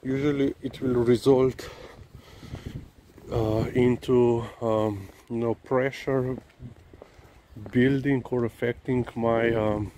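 A man talking haltingly on a dog walk outdoors, filling pauses with "you know" and "um". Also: mid-range voice, fairly even intonation, warm tone. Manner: halting, many fillers and restarts. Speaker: dog-walking vlogger. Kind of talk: casual walk-and-talk monologue. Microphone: camera held low, walking. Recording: outdoor noise behind the voice.

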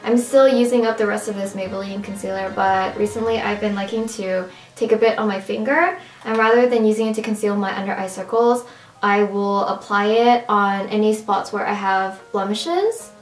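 A young woman with a raspy, strained voice chatting casually through a makeup tutorial at home. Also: medium pitch, moderate pace, reverberant, faint music behind. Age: young adult. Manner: chatty, upbeat, casual with fillers. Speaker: beauty vlogger. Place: small room at home, indoors.

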